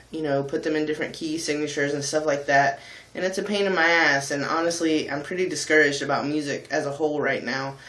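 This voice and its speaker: A man talking candidly in a casual vlog, thoughtful and pausing often with ums. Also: fairly high pitch, fairly bright tone, reverberant, poor audio. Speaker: vlogger and singer. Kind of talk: casual reflective vlog talk.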